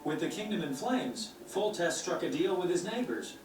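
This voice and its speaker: A man's voice: high voice, very animated, normal speed, soft background music. An actor playing a flowery, dramatic male bard in a game cutscene. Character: theatrical chatty male bard. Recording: echoey distant game audio.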